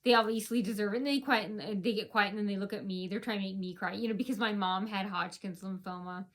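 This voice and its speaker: A woman's voice: medium pitch, fairly even intonation, fast talker, fairly bright tone. A woman vlogger talking straight to her viewers in a long, rambling monologue, full of fillers and restarts.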